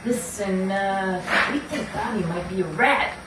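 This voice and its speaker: A woman plays a sheepish gang flunky in a puppet show. The figure is hesitant and eager to obey. The recording is very echoey and far from the mic, with clear noise. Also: mid-range voice, measured pace, close to an everyday voice. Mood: uneasy. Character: sheepish gangster henchman puppet, nervous and obedient. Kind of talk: amateur puppet show acting.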